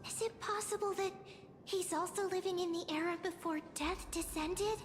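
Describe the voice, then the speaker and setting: Little fairy companion, game character, extremely high, childlike, inquisitive, music behind. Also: female voice, fairly even intonation, normal speed. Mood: curious. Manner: wide-eyed, inquisitive. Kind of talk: video game voice acting.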